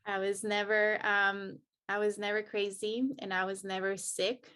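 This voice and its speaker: A woman from El Salvador speaks as a podcast guest, sharing her story in an earnest, reflective way. She often restarts her sentences as she searches for words, and her voice sits at a middle pitch. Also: flat delivery, unhurried, pushed and bright, clear, resonant tone. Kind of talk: personal storytelling in an interview.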